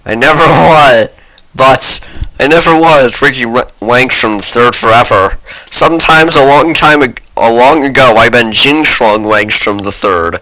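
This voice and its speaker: Man reading as a boastful, halting fight-obsessed blogger. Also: medium pitch, bright, forceful tone, low-quality recording. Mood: boastful, earnest. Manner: broken phrasing, emphatic, halting.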